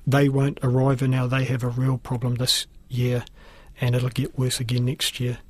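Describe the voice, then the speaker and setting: A man with a New Zealand accent explaining things in a measured, matter-of-fact way, like a school principal in an interview. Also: medium pitch, monotone, dry recording.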